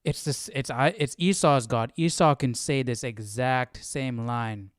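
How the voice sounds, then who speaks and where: A man's voice: medium pitch, a little slow, clear, resonant tone, dry recording, studio quality. A man hosting a casual live Bible study, thinking aloud and questioning, often checking with "right?".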